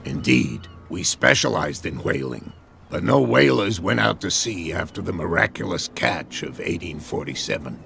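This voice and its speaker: An actor playing a weathered harbor captain in a video game, proud and superstitious, with a gravelly, measured, wry storytelling delivery. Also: male voice, sits low, big pitch swings, a bit bright, a little hiss or hum, full character voice. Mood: measured, helpful. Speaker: last serving sea captain.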